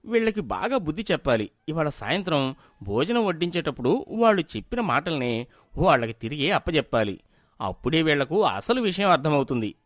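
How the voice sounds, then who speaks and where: Fed-up, scheming husband for a storybook tale played by a man, grumbling, lively intonation, dry sound. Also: fairly high pitch, fairly quick, no echo. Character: shrewd, fed-up husband plotting a lesson.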